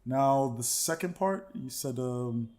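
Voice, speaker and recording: Guy cohosting a chill podcast, keeps repeating himself while he thinks, normal pitch. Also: takes it slowly, darkish tone. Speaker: podcast co-host. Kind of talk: casual chat.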